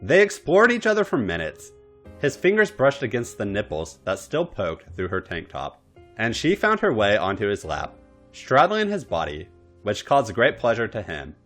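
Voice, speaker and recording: A man's voice: on the low side, wildly swooping pitch, moderate pace, a bit bright, faint music behind. A man narrating erotic fan fiction for a podcast in a theatrical, dramatic style. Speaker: podcast story reader.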